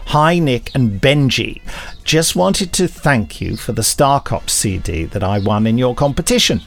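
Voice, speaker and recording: Gravelly man with dry wit. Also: medium pitch, animated, unhurried, faint music behind. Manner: dry, wry humor. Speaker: podcast co-host. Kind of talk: casual chat, reading listener emails.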